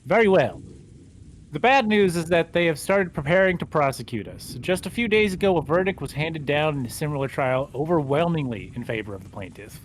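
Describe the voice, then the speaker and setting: A man's voice: fairly high pitch, animated, a little fast, a little hiss or hum, natural voice, low-quality recording. A man playing a formal middle-aged lawyer who is not a native speaker, with a stiff delivery that trips over words. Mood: grave. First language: not Japanese. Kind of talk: fan livedub of a visual novel.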